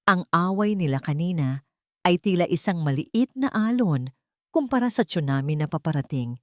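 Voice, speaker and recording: A woman reading a scripted romance story in a dramatic, deliberate storytelling manner. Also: fairly low pitch, darkish tone, dry recording, clean studio sound. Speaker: story narrator.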